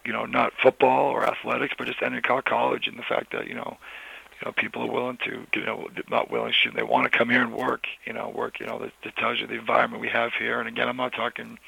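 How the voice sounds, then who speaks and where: A hoarse man, a college football head coach, answering interview questions in a rambling way with many restarts and fillers. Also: medium pitch, monotone, quick pace, sharp tone, rough recording.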